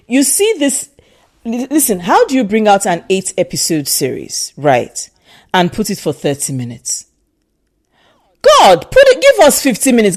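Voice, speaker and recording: A Nigerian woman talks straight to her viewers in a casual vlog. Her voice is pitched mid-range for a woman but swings widely up and down. She is emphatic and repeats lines to drive them home. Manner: emphatic and animated, repeats herself for stress. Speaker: vlogger.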